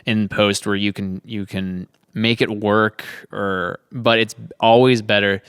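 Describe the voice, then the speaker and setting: A man's voice: on the low side, level tone, takes it slowly, fairly bright tone, smooth, no echo. A man speaking casually as a member of a production team. His voice is recorded dry, with very little room around it, in an informal back-and-forth chat.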